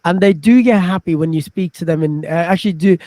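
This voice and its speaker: A man's voice: fairly high pitch, quick pace, warm tone, dry recording, clean studio sound. A man from the UK hosting a casual, conversational interview. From United Kingdom. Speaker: interviewer, podcast host.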